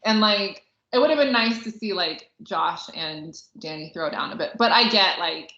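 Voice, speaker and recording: Bright sounding woman podcaster, casual n rambly, says like a lot, echoey room, bit lo-fi. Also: medium pitch, animated, normal speed. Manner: informal, hesitant, heavy use of "like". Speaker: podcast co-host reviewing a film. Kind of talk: casual conversational discussion. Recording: roomy, echoey, slightly dull lo-fi audio.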